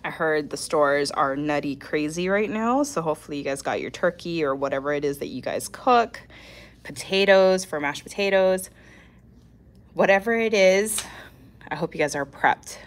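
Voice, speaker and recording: A woman's voice: low voice, lively intonation, normal speed. Congested, scratchy-voiced woman hosting a livestream. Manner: chatty, clears throat often. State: congested, nasal from a cold. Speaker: nail art livestream host. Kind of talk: casual livestream chat.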